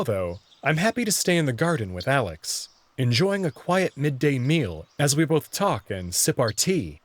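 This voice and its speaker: A man's voice: medium pitch, no room sound. A man reading scripted first-person narration for a visual novel.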